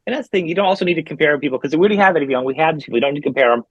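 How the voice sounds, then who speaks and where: Male podcast host, rapid, enthusiastic, muffled lo-fi sound. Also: fairly high pitch, expressive, husky, dry recording. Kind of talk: interview conversation. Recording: dry, dull lo-fi remote audio.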